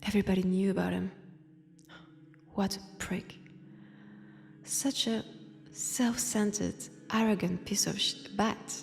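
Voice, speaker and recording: A woman with a French accent voicing an ancient, teasing vampire who poses as a nun, in a hushed, intimate roleplay drama. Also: mid-range voice, fairly even intonation, creaky. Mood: wry, nostalgic. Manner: slow, teasing, hushed, dropping into whispers. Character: ancient, powerful female vampire posing as nun, teasing, sardonic. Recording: long church-like reverb.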